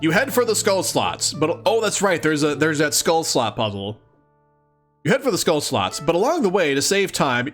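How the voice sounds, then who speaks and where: A man hosting a let's-read, reading aloud expressively with casual, giggly commentary. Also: fairly high pitch. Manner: giggly, expressive, dramatic reading mixed with chatty asides. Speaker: host of a live let's-read of a webcomic.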